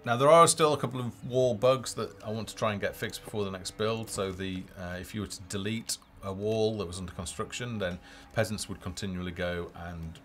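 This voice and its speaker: A man giving casual, low-key live commentary as a game developer, explaining features as he plays. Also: steady tone, a little fast, hardly any pauses, a bit bright. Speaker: game developer presenting a livestream. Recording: music behind the voice.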